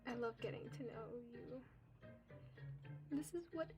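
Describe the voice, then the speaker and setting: Giggly young woman chatting slowly. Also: a little high, over music. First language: Cantonese. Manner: chatty, giggly, reads out and answers viewer comments. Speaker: live-stream coloring host. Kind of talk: casual chat with live chat readers.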